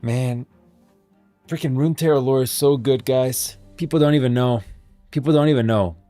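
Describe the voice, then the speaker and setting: A man hosting a casual gaming livestream, chatting informally, thinking aloud in a halting way and repeating phrases. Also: medium pitch, mellow, dry recording, soft background music. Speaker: streamer, host of a gaming lore stream. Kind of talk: casual livestream chat, reading posts aloud.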